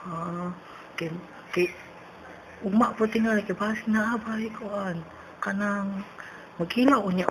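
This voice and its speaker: A woman voicing a forgetful elderly neighbour with a Cebuano accent, halting and repetitive on a prank phone call. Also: lowish voice, lightly acted. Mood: hesitant, muddled. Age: older. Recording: noisy phone-call recording.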